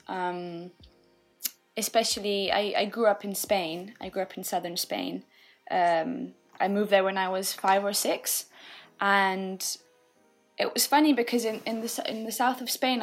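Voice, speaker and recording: Woman, young, podcast guest; thoughtful, unhurried, lots of ums and gentle laughs. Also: medium pitch. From England. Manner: thoughtful, frequent fillers and soft laughs. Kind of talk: casual reflective conversation.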